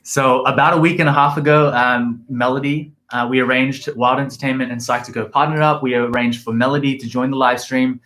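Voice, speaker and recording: A man from Australia, a guest in a casual livestream chat, relaxed and chatty. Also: mid-range voice, brisk, few pauses. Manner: relaxed, chatty, uses "like" a lot. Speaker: guest, entrepreneur. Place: café in Seoul.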